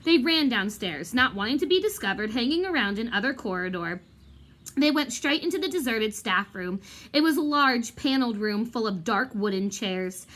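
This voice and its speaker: A woman reading a children's novel aloud like a teacher, in an expressive, dramatic storytelling manner. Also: a little high, normal speed. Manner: animated, dramatic.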